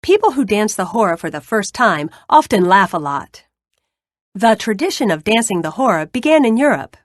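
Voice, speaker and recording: A woman's voice: medium pitch, very animated, moderate pace, dry recording, studio quality. A woman reading scripted educational narration for young children. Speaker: narrator of children's read-aloud.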